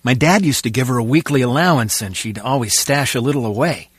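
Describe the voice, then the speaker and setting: A man's voice: mid-range voice, a little fast, breathy edge, no echo, lightly acted. An actor playing a gabby, opinionated henchman guard in comic video game banter. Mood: chatty.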